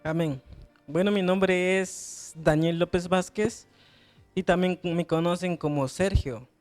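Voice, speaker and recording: A man's voice: high-pitched, fairly even intonation, takes it slowly, darkish tone, soft background music. A young man with a Guatemalan accent giving an earnest, somewhat hesitant religious testimony as a guest. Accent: Guatemalan Spanish. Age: young adult. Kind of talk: personal testimony.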